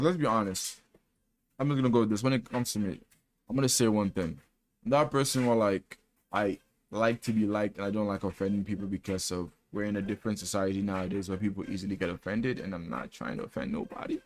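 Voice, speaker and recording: A young man in his twenties talks straight to his viewers in a loose, rambling monologue. His voice sits a little low, and he is candid and self-deprecating. He restarts sentences and drops casual slang as he goes. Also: a little mellow. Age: 20s. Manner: casual slang, says "bro" often. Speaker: vlogger. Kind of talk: personal reflection monologue.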